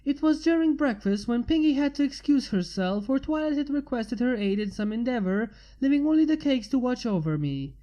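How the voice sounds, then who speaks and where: A woman's voice: sits high, lively intonation, brisk, hardly any pauses, dark tone, lo-fi sound. A woman reading a scripted story aloud as its narrator. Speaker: fan fiction reader. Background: music.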